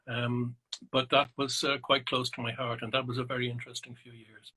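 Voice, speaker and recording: A middle-aged Irish man talks slowly and reflectively over a video call, pausing often with ums and restarting his sentences. His voice is bright and forward, with a husky, slightly breathy grain. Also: medium pitch, level tone, rough recording. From Ireland. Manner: thoughtful, hesitant, many ums and restarts. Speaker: psychotherapist and naturalist guest. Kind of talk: reflective interview answers.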